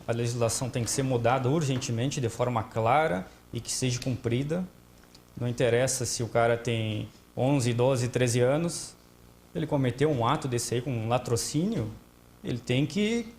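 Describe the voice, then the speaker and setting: A man speaking as a guest on a TV panel debate, indignant and forceful in his emphasis. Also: medium pitch, moderate pace, a little hiss or hum.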